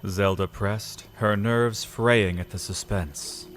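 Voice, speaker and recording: A man narrates a dramatic fantasy story in a low voice. He is solemn and measured, setting out phrases with deliberate pauses. Faint music runs underneath. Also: steady tone.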